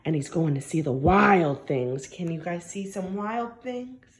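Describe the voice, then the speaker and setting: A woman teacher reading a story aloud to young children, expressive and coaxing, often asking questions and stressing key words. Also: on the low side, unhurried. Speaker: primary school teacher.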